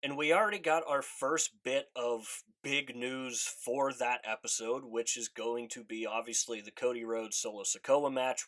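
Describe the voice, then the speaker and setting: A man's voice: medium pitch, a bit bright. A man with a congested voice from allergies, talking through his predictions in a casual solo video, often repeating himself as he thinks aloud. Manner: speculative, conversational, repeats "I think" and "again". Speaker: wrestling commentary YouTuber.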